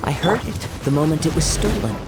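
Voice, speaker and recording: An actor playing a calm young male wandering swordsman in a video game, measured and poised. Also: on the high side, loud music behind the voice. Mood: composed.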